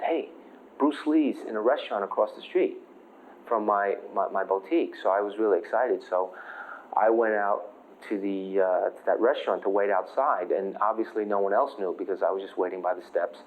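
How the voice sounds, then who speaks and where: American martial artist telling a story, tinny. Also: male voice, on the low side. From United States. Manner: animated storyteller, quotes people, fillers. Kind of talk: lively anecdote in an interview. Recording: thin phone-like sound.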